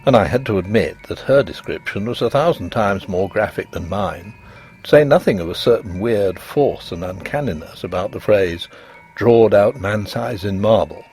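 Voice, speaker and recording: A man narrating a Victorian ghost story as scripted reading, with an expressive, literary delivery. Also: fairly low pitch, normal speed.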